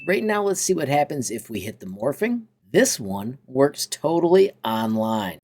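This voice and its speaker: An enthusiastic man hosts a casual software walkthrough. His voice sits at a middle pitch, and he talks through each step in an upbeat, informal way. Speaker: tutorial host. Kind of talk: casual software tutorial narration.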